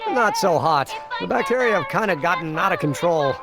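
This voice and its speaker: A man's voice: high-pitched, sing-song, fairly quick, loud music behind the voice. A man voicing an eager, earnest young amateur scientist in a video game, explaining things precisely and with enthusiasm. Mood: chatty, enthusiastic. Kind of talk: video game character acting.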